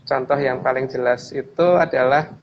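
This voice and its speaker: A man's voice: medium pitch, steady tone, moderate pace. A middle-aged man explains his opinions in casual discussion, often repeating phrases and seeking agreement. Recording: dull lo-fi audio, clear background noise.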